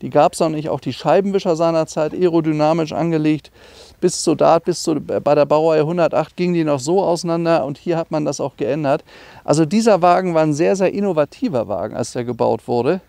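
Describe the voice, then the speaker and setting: Middle-aged man, high-pitched creaky voice. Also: fairly quick, dark tone, dry recording. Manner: enthusiastic, conversational, explanatory. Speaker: classic car dealer presenting a car. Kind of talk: informal car walkaround presentation.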